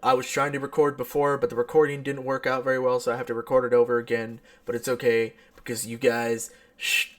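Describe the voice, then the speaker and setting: A man's voice: mid-range voice, little pitch movement, fairly quick, hardly any pauses, fairly bright tone. A young man doing casual playthrough commentary, reading aloud and reacting playfully, sometimes tripping over his words. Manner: playful, self-mocking, stumbles and stutters over words. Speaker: gaming video host. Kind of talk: casual playthrough commentary with read-aloud visual novel text. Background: music.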